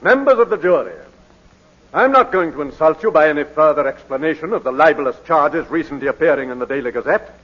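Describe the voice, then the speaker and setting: High-pitched, animated British barrister. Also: male voice. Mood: oratorical. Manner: formal, measured, oratorical. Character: formal, courteous English barrister questioning his client. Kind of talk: 1940s radio drama acting. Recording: muffled vintage 1940s radio broadcast.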